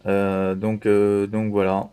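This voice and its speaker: A man chatting casually as an amateur radio operator, halting and repeating himself with frequent verbal tags. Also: lowish voice, completely flat delivery, dark tone, clean tone. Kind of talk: casual CB radio contact chat.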